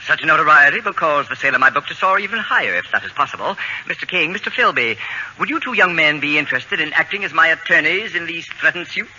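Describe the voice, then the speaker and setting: A man's voice: on the high side, lively intonation, fast, pushed and bright, breathy edge, full character voice. An actor playing a pompous, self-important male babysitter and author in an old radio comedy, with precise, formal diction. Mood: smug delight. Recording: dull, noisy old radio broadcast.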